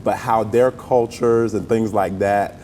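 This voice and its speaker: A man with a Southern US accent talking conversationally as an interview guest, telling stories in an animated, folksy way. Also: a little slow. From southern United States. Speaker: interview guest, playwright and stage actor. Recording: roomy long reverb with rumbling noise.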